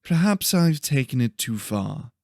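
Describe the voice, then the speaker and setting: A man's voice: mid-range voice, sing-song, measured pace, gravelly, darkish tone, dry recording, clean studio sound, pitched above the performer's own voice. A man voicing an entitled, taunting young male student in an audiobook. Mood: mock apologetic. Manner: taunting, smug. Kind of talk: audiobook character voice.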